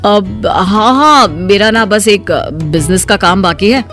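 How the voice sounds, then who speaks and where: A mid-pitched voice of a smooth-talking young male suitor, acted by a woman. He is coaxing and slippery, in cartoon story style, with clear guitar music behind. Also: slow, fairly bright tone. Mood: evasive. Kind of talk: acted dialogue in Hindi moral story cartoon. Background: plucked guitar music.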